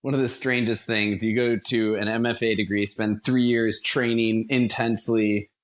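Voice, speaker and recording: A man's voice: lowish voice, level tone, normal speed. A man in his twenties from the United States, speaking as a podcast guest and telling anecdotes conversationally with light, self-deprecating humor. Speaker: podcast guest, actor and theater company founder. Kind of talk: conversational interview storytelling.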